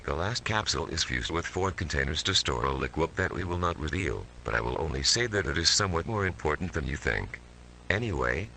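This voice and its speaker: A man's voice: rumbling low, vocal fry, sharp tone, slight background noise, heavily acted. A man voicing a detached military scientist, reading a formal, stilted analog horror narration. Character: male military scientist coldly recounting his mutant experiments.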